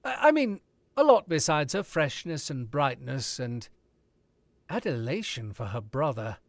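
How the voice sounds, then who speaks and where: A man's voice: mid-range voice, very animated, vocal fry, no echo. A man playing a suave, ironic sleuth-author. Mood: reflective. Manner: cool, measured, ironic. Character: suave, probing older male author. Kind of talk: 1940s radio acting.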